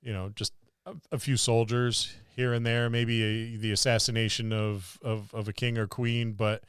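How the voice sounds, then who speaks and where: A male podcast co-host talks casually about tabletop gaming in a smooth, clear voice of average pitch. He thinks aloud and repeats words for emphasis, recorded close and dry. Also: level tone, moderate pace, dry recording. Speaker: podcast co-host and experienced game master.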